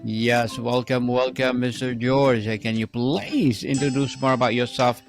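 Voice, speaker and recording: A man's voice: mid-range voice, fairly even intonation, measured pace, music in the background. A man speaking as a podcast host, introducing and questioning in an interview style. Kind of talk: interview hosting.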